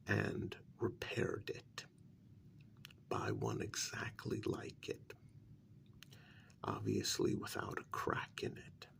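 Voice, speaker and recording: Gravelly, whispering man in slow ASMR role-play. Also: fairly low pitch. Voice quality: soft, breathy half-whisper with gravelly creak.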